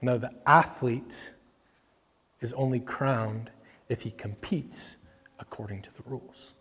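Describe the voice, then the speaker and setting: A man's voice: mid-range voice, takes it slowly, a bit bright, slightly rough, in a large hall. A man preaching a conversational sermon into a microphone, with many fillers, acting out the people in the scripture he reads. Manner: conversational, filler-heavy, voices characters when reading. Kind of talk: casual sermon with scripture reading. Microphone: microphone that cuts out.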